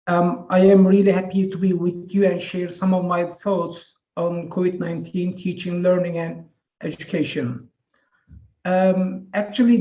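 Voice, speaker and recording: A man whose first language is Turkish, an associate professor giving a measured, prepared webinar talk with some fillers. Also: high-pitched, mellow, roomy sound, dull audio. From Turkey.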